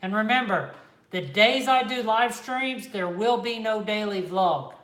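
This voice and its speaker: A man from the Kansas, Oklahoma and Missouri area with a Midwestern American accent, hosting a relaxed livestream from home and answering viewers' chat. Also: high voice, unhurried. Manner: laid-back, reads and answers live chat. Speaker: reptile channel host. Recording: echoey room.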